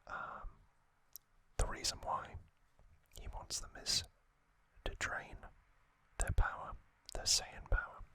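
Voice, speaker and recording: A man hosts ASMR in a breathy, unvoiced whisper. The sound is very crisp and bright, with no chest tone to it. He explains things very slowly and haltingly, pausing often between short phrases. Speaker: ASMR host. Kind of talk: whispered ASMR trivia recap, unscripted.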